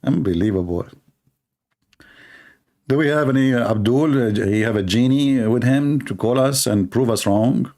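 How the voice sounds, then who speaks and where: A man's voice: mid-range voice, normal speed, mellow. A man hosting a live stream, talking in a sarcastic, mocking way and mimicking others in funny voices. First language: Arabic.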